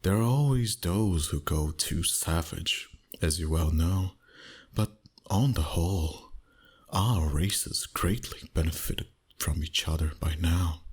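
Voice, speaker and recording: Man playing a deep, husky, seductive vampire. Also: heavily acted. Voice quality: breathy, husky, often slipping into whisper. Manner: slow, deliberate, flirtatious. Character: seductive, dominant, teasing male vampire boss. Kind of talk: ASMR roleplay, intimate monologue to listener.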